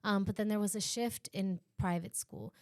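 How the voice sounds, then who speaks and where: A woman's voice: medium pitch, normal speed, a little mellow, no room sound, clean studio sound. A woman podcast guest, from Virginia, telling her own school story casually with many fillers. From Harrisonburg, Virginia.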